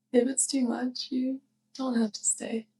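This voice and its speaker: A woman playing a sweet, clumsy young witch neighbor in an intimate ASMR roleplay, speaking softly and hesitantly with shy affection. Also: high voice, a little hiss or hum. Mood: shyly hopeful. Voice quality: soft, breathy. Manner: hesitant, giggly rambling.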